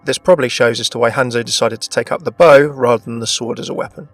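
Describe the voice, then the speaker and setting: A man's voice: mid-range voice, fairly even intonation, quick pace. A man presenting a gaming video in a scripted narration style. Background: background music.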